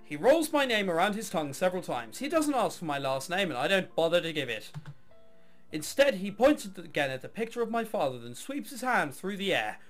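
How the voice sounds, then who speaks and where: A man's voice: sits high, very animated, brisk, soft background music. A man reading a visual novel aloud, mixing dramatic narration with casual, offhand commentary. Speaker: video game let's player.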